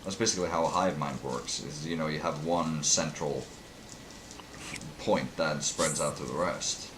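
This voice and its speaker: A man's voice: extremely low pitch, normal speed, noisy background. A young man from Norway with a Norwegian accent, co-hosting a casual podcast chat, rambling and joking. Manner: rambling, jokey, loses his train of thought. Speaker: podcast co-host.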